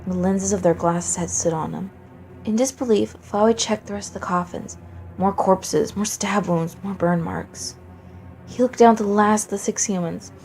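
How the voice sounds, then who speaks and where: A woman's voice: medium pitch, normal speed, darkish tone, faint music behind, low-quality recording. A woman narrating a dramatic story from a script as a fan fiction reader.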